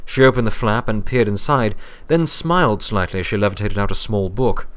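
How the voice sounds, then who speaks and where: Low-voiced male story narrator. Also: expressive, fairly quick, fairly bright tone. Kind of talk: scripted narration of fan fiction story. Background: music.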